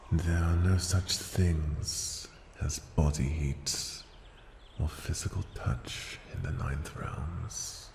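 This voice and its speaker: An ancient dragon, played by a man, speaks in a very deep, gravelly voice, drawing out each phrase extremely slowly. He is haughty and possessive, tenderly menacing in a formal, intimate fantasy ASMR roleplay, often sinking toward a breathy whisper. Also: warm tone, roomy sound, a little hiss or hum, exaggerated voice. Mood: wistful, reflective. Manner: slow, deliberate, formal, condescending, often dropping to a breathy whisper.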